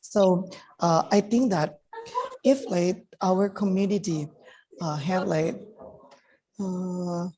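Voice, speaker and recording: A woman from Thailand, a native Thai speaker, giving halting remarks as a guest at a webinar, with many restarts and fillers. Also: fairly low pitch, warm tone, poor audio.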